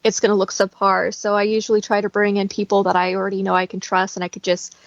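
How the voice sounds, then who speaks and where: A woman's voice: medium pitch, completely flat delivery, fast, gravelly, no room sound, highs cut off. A woman talking in a casual conversational interview as a guest, explaining things in a chatty way.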